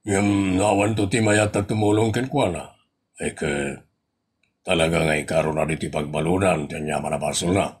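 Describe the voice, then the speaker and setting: A man with an Ilocano accent playing a grave village captain in an audio comedy-drama, measured and gravelly. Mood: grave, explaining. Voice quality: low, gravelly.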